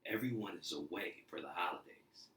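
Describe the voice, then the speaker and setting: A man's voice: low voice, normal speed, bright, forceful tone, echoey room. A man voicing a sneaky, scheming burglar in a storybook reading. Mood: sly, eager.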